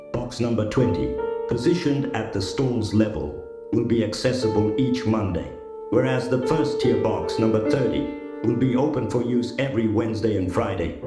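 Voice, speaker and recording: A grave opera house manager, acted by a man, speaks formally and solemnly in a mid-pitched voice. The sound is roomy and echoing, with clear guitar music behind the ominous audio drama delivery. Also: lightly acted. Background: plucked guitar music.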